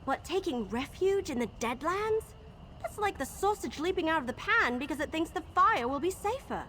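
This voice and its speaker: High, lively young adventurer. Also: female voice, moderate pace, slight background noise, exaggerated voice. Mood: worried, wry. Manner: animated, quirky, expressive. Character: chatty, perky young adventurer woman, quirky and cheerful. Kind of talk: video game dialogue acting.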